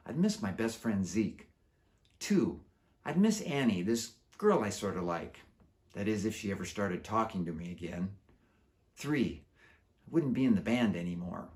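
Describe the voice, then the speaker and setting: A man who is an author reading his children's book aloud in an animated storytelling style. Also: fairly low pitch.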